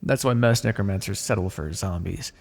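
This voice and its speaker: Gravelly deadpan necromancer, acted by a man in reverb. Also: low voice, fairly quick, exaggerated voice. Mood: wry, matter-of-fact. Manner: deadpan, muttering to himself in thought. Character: blunt, callous male necromancer, wryly businesslike. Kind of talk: ASMR fantasy roleplay monologue. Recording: long reverberant hall echo.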